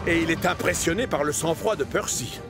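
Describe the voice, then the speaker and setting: A man's voice: fairly high pitch, fairly quick, over loud music. A man narrating a scripted children's cartoon story.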